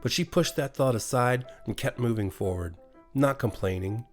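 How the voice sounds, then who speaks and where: A man's voice: medium pitch, moderate pace, faint music behind. A man with an American accent narrating a scripted bedtime horror story as a storyteller. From USA.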